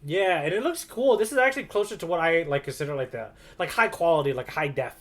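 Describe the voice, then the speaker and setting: Give a man with a slightly high voice, talking as a podcast co-host. He rambles along in casual conversation, with the enthusiasm of a fan. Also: fast talker, a bit bright. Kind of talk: casual chat.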